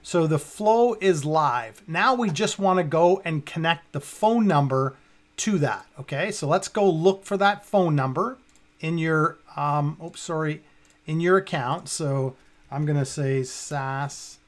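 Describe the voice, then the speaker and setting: A man in his fifties with a fairly high voice presents a software walkthrough. He speaks casually, talking himself through each step as he works on screen. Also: measured pace. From Canada. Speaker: tutorial presenter. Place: indoors, at a computer.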